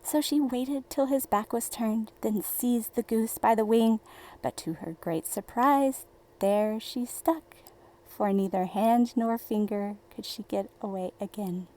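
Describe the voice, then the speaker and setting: A woman narrates a children's fairy tale in a fairly high, mellow voice. She takes her time, shaping each phrase with deliberate storybook expression. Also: low-quality recording. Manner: slow, deliberate, theatrical storybook delivery.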